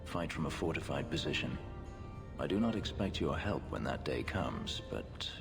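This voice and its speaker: An actor playing a guarded, brooding warrior on the run in a video game, speaking tersely in formal, measured phrases. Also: male voice, very animated, heavily acted. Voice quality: very deep, gravelly. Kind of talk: dramatic video game dialogue. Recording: music underneath. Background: background music.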